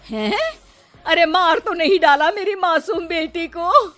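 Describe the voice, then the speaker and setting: High-pitched, sharp-tongued greedy mother, acted by a woman. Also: lively intonation, slow pace, exaggerated voice. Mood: outraged, threatening. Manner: scolding, demanding, dramatic. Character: greedy, sharp-tongued middle-aged mother, quick to threaten. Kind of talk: Hindi cartoon moral story acting.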